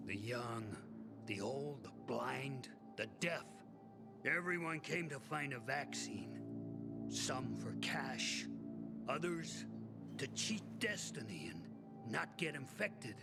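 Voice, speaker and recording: A man's voice: medium pitch, animated, creaky. A male actor playing a middle-aged, world-weary, resigned survivor in a video game, telling things in a bitter, brooding way. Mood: grim, brooding. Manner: slow, brooding, bitter storytelling with pauses. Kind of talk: game cutscene acting. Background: music.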